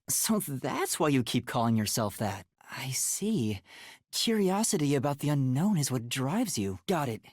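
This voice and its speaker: A man voicing an anxious, earnest young male detective in a video game, with a soft, light, boyish voice and a hesitant, polite delivery. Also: on the high side, no room sound, clean studio sound. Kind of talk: English video game voice acting.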